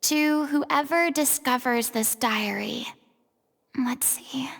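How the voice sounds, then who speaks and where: An actress voicing an earnest, compassionate young woman investigator in a video game, with a hushed, solemn delivery. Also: high voice, takes it slowly, vocal fry, big-room sound, full character voice. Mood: grave, reflective. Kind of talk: video game story voice acting.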